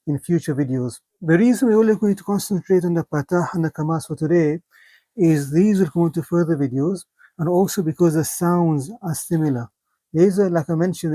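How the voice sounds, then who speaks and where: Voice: mid-range voice, dark tone, no room sound. A teacher giving a careful beginner lesson, spelling out letter sounds one by one, with some fillers and restarts. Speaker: language teacher. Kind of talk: beginner lesson on script and vowel marks.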